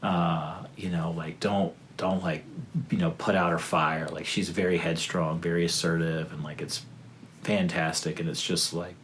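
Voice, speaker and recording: A man in his thirties with a deep, low voice, speaking as a podcast guest. He rambles loosely, piling up fillers and restarting his sentences. A faint hiss sits under the recording. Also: flat delivery, moderate pace, fairly bright tone. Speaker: guest, father of three. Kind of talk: casual podcast conversation.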